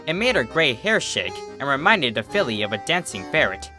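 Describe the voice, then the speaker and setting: A man reading fan fiction as a narrator, in an expressive scripted storybook style. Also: mid-range voice, fairly quick, over music.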